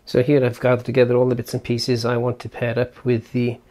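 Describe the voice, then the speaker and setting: A man's voice: mid-range voice, monotone, brisk, dark tone. A man giving casual hands-on product commentary as a reviewer, explaining step by step and thinking aloud informally. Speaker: tech reviewer and presenter.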